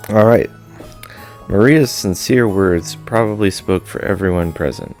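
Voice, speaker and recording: A man's voice: low-pitched, unhurried, darkish tone, soft background music. A man hosting a visual novel read-aloud, moving between informal chat and story narration.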